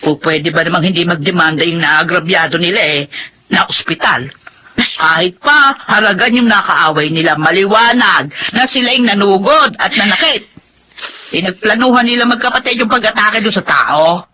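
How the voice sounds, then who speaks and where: A woman's voice: mid-range voice, moderate pace, sharp tone, slight background noise, lightly acted. An actress playing an exasperated, outspoken Filipino mother, scolding expressively in a radio drama.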